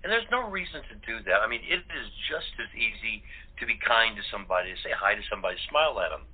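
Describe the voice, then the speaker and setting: A man's voice: fairly low pitch, lively intonation, quick pace, husky. A man speaking as an interview guest, casual and earnest, rambling and often repeating words. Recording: tinny phone-like line.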